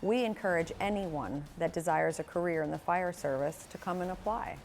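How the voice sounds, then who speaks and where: A woman speaking for a fire rescue service in a promotional interview, earnest and steady. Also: fairly low pitch, moderate pace. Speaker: fire rescue recruitment spokeswoman. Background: soft music.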